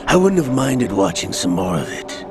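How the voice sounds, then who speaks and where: An actor playing a cold, scheming older male villain in a video game, calm, measured and mocking as he lays out a plot. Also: medium pitch, lightly acted. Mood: smug, coldly explaining. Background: game score music.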